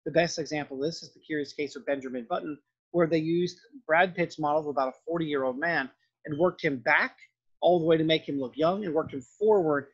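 A man gives an informal, improvised lecture in a fairly high voice. It has a husky edge, and the recording is muffled and lo-fi. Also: brisk. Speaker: art history lecturer. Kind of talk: informal lecture narration over slides and clips.

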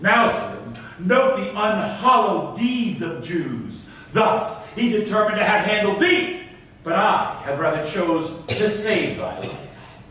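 An actor playing a stern, crafty governor in an Elizabethan stage tragedy, speaking stately declaimed verse. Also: male voice, sits high, lively intonation, slow pace, pushed and bright, heavily acted. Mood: grave. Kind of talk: theatrical Elizabethan verse acting. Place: indoor theatre stage, live staged reading. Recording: echoey stage hall, distant microphone.

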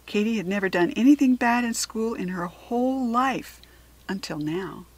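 An American woman narrating a children's story expressively, with deliberate dramatic emphasis on key words. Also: a little high, animated, darkish tone. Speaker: storybook reader.

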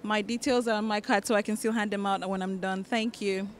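A woman with a Nigerian accent introducing her accessories line at a press junket into a handheld mic, relaxed with fillers. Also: medium pitch, brisk, a little hiss or hum. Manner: relaxed, even delivery with fillers.

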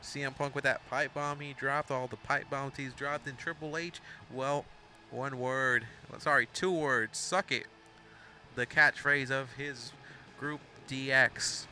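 A man's voice: mid-range voice, fairly even intonation, a little slow, bright, forceful tone, a little hiss or hum. A young man of Mexican background giving rambling, casual play-by-play commentary over a wrestling video game, full of asides and self-corrections.

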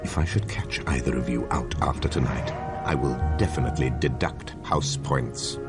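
A very deep-voiced English schoolmaster wizard, cold and menacing. He speaks with stern deliberation in a game cutscene, under loud music. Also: normal speed. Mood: sharp threat. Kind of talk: video game cutscene acting.

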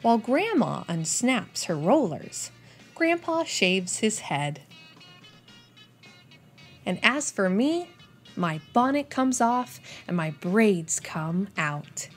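A young woman hosts storytime for small children. Her delivery is lively and melodic, with a slightly husky edge to the voice. Music plays behind her as she speaks. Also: mid-range voice, takes it slowly. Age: young adult. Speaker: children's storytime reader and host. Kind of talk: expressive picture-book read-aloud.

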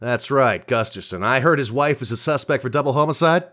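A man's voice: medium pitch, lively intonation, fairly quick, fairly bright tone, natural voice. A man playing an authoritative intelligence boss in an audio drama, formal and commanding. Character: authoritative male intelligence boss demanding a report.

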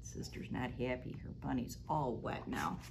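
A middle-aged woman reading a picture book aloud to children as a library storytime host, animated and sing-song, now and then dropping to a stage whisper. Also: extremely low pitch, normal speed, vocal fry, a little hiss or hum. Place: small library room, indoors. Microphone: lapel mic.

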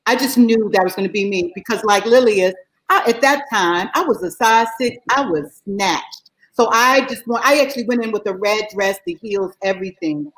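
A middle-aged woman telling a backstage story in casual chat on a video call, animated and laughing as she goes. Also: mid-range voice, moderate pace, poor audio. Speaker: guest performer.

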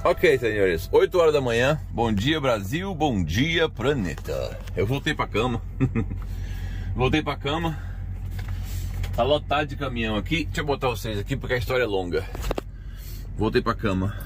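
A man's voice: medium pitch, sing-song, moderate pace, noisy background. A man from Brazil with a Brazilian Portuguese accent, a truck driver chatting and joking to his camera from inside his truck cab. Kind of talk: casual vlog narration. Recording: loud background music over voice.

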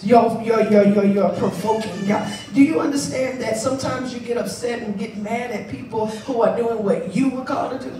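A woman of thirty-nine preaching on a church stage with a handheld mic, chatty and playful, then building to shouts. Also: a little high, moderate pace, hardly any pauses. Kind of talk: sermon. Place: large church sanctuary, stage. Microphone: handheld microphone. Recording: echoey hall, far mic, crowd responses. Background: congregation calling back.

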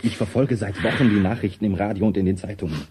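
An actor playing a bitter, obsessed, grieving man with a dark, husky voice in a radio play. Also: lowish voice, steady tone, fast, background noise, natural voice. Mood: bitter, brooding.

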